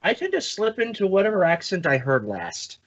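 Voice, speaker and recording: Man, podcast host, very animated intonation, playful banter, casual chat, lo-fi muffled audio. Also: high voice, brisk. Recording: dull lo-fi audio.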